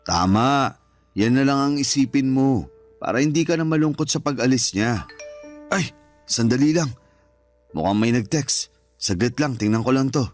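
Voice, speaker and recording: A man acts out a middle-aged Filipino father in a radio drama. He sounds devoted and reassuring, talking affectionately in a medium-pitched voice. Music plays softly underneath. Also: normal speed, pauses often, natural voice. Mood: reassuring, earnest. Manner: affectionate, encouraging, conversational.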